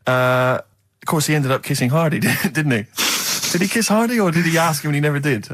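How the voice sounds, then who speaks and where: A man's voice: on the high side, brisk, fairly bright tone, no echo. A man co-hosting a comedy radio show, chatting casually with mock-earnest questions. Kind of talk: casual comic chat. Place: radio studio.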